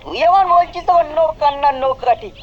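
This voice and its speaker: Whiny cartoon boy of ten, played by a woman, high voice, dull sound with faint music. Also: lively intonation, brisk. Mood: questioning. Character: food-loving, whiny ten-year-old cartoon boy. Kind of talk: exaggerated cartoon voice acting.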